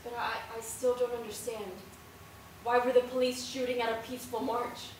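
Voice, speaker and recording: A woman's voice: fairly high pitch, level tone, normal speed, natural voice. A woman acting a curious, questioning young Puerto Rican listener in a stage play. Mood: questioning, troubled. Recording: very echoey stage, far microphone, faint noise.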